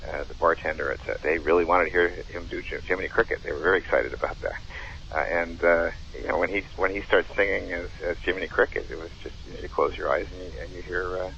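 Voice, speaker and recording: A man casually recollecting as a show director, in a halting, rambling manner. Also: low voice, fairly even intonation, vocal fry, bright, forceful tone. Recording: dull, faintly noisy recording.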